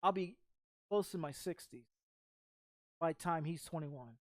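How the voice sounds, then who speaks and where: A woman's voice: low-pitched, unhurried, no room sound, studio quality. A woman in her thirties from Texas chatting casually as a streamer, blunt and sweary, circling back over the same phrases. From Texas, United States. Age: 30s. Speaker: game streamer. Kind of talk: casual rambling chat.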